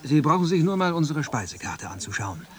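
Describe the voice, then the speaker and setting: An actor playing a proud American restaurant manager who talks in a boastful, brisk way. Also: male voice, fairly high pitch, very animated. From USA.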